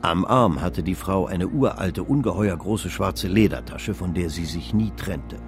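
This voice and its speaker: An actor narrating a literary radio play as a measured, all-knowing storyteller of an old stock exchange tale. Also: male voice, sits low, vocal fry. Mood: measured, vivid. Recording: music behind the voice. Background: music.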